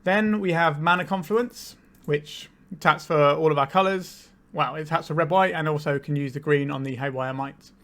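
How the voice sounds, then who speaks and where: Slightly high-voiced man with a cold. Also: normal speed, hardly any pauses. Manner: relaxed, explanatory, thinking aloud. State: has a cold, a bit tired. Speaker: channel host, card game player. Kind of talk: casual gameplay commentary.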